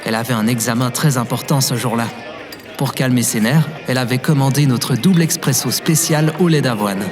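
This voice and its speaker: An actor playing an easygoing, forgetful, cheerful young barista in an audio drama, with a casual, good-natured manner. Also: male voice, mid-range voice, a little fast, music in the background, natural voice. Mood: reminiscing. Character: Timo, easygoing, forgetful, cheerful young male barista telling his past. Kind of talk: audio drama acting and informal storytelling.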